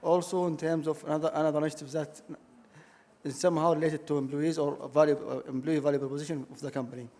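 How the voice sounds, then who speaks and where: A man answering on a conference panel in a measured way, hedging often with fillers. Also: on the high side, flat delivery, mellow. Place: conference hall stage. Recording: reverberant conference hall.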